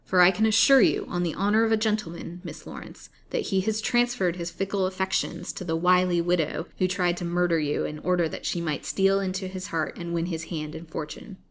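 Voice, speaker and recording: A woman reading the lines of a scheming male villain, speaking smoothly and commandingly. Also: fairly low pitch, steady tone, a little fast, hardly any pauses, lightly acted.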